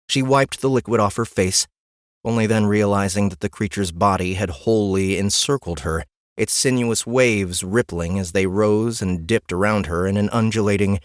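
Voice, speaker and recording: Low-voiced man narrating wryly. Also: no echo. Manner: wry, dry humor. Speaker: audiobook narrator. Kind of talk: scripted narration of a comic fantasy story. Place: dry studio.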